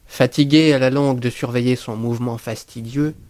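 A man's mid-pitched voice, recorded dry and close, narrates literary horror. He reads deliberately and expressively, as an audiobook narrator would, giving each phrase a measured delivery. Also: steady tone, a little mellow, dry recording. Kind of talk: dramatic literary narration of a first-person horror tale.

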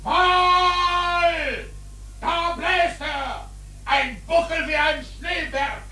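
An actor playing a frenzied whaling captain in a radio drama, shouting frantic, drawn-out calls from the masthead. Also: male voice, very high voice, steady tone, a little hiss or hum, full character voice, poor audio. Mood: frenzied alarm.

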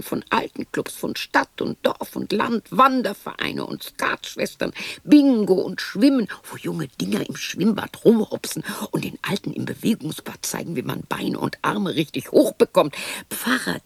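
Bitter lonely widow of sixty, radio drama, breathy whispering, wide pitch swings, rambling to herself. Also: female voice, dry recording, heavily acted. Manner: agitated muttering, swinging between whispers and outbursts.

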